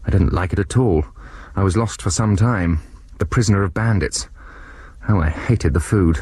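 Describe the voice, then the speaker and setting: A man's voice: deep voice, moderate pace, breathy edge, dry recording. A man playing a middle-aged German professor who narrates his past adventure in a radio drama, wry and reflective. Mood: wistful. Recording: radio drama with loud music underneath.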